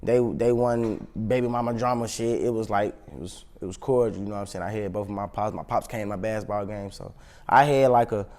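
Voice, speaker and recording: A man's voice: on the low side, a little fast, a little mellow. A young adult man with a Chicago accent, giving a casual interview in slangy, profane street talk. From South Side of Chicago, United States. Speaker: interview guest, rapper.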